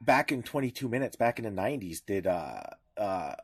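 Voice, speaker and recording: A man's voice: lowish voice, very animated, breathy edge, no echo. Canadian man talking in stops and starts, dry sound. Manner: halting, with repeated words and restarts. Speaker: podcast co-host. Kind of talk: casual discussion of media law.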